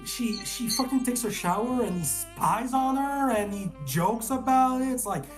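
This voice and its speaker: Animated man, casual film chat. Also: extremely high pitch, unhurried, faint music behind. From Canada. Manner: animated, restarts often, swears. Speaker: guest reviewer.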